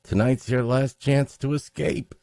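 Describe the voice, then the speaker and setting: A man's voice: medium pitch, steady tone, unhurried, gravelly, mellow, no echo, studio quality, faint music behind, pitched above the performer's own voice. A man voicing a furtive gangster dog in an old crime story, giving urgent warnings.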